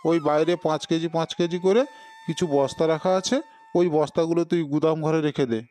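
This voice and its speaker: A man's voice: fairly high pitch, steady tone, a little fast, dark tone, close to an everyday voice. A man voicing a stern village authority figure in a cartoon, with a blunt, commanding manner. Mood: brusque. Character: stern village landlord and other authority elders. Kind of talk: Bengali cartoon dubbing.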